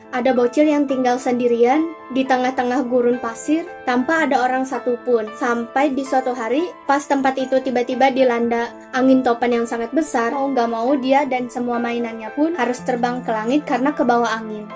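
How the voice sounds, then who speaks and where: Young female narrator, high-pitched, chatty recaps of spooky cartoons, light music bed. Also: darkish tone. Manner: chatty, addresses viewers directly, drawn-out emphasis on some words. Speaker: storyteller and channel host. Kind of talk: casual scripted recap narration of spooky animated stories. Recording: voice-over with faint music underneath. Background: faint background music.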